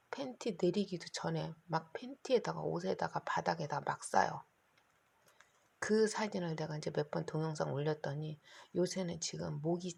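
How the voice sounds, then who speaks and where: A middle-aged woman vlogging to her phone in a rambling, anxious monologue, her voice hoarse and her throat catching. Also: on the low side, darkish tone. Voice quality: gravelly, husky. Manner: rambling, anxious, talks in long run-on stretches. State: hoarse, keeps clearing throat. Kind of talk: casual monologue to camera.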